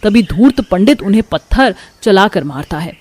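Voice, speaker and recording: A woman's voice: mid-range voice, animated, moderate pace, darkish tone. A woman reading scripted narration for a story.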